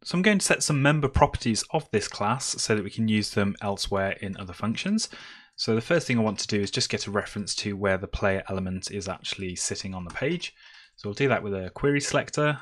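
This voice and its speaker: A man's voice: fairly low pitch, lively intonation, fast. A man presenting a screencast tutorial, explaining step by step in a semi-improvised way as he works. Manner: step-by-step, explaining while typing.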